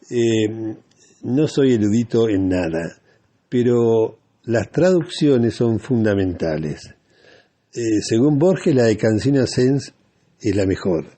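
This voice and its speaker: A man with a Rioplatense Argentine accent hosting a radio show in a reflective, halting and deliberate monologue. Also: mid-range voice, mellow.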